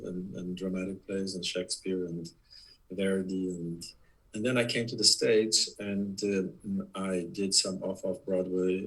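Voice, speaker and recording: A low, husky-voiced man in his sixties speaks English with a Polish accent. He talks haltingly as an interview guest, filling pauses with uh and restarting his sentences. His tone stays deep and slightly breathy throughout. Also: low-quality recording. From Poland. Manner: halting, many fillers and restarts. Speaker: guest, production designer. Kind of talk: casual interview.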